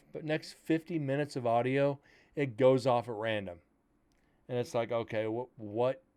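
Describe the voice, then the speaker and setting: Man with a loud, carrying voice. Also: mid-range voice, clear, resonant tone. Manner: blunt and opinionated, explains gear plainly. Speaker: paranormal investigator host. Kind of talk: casual commentary on old recordings.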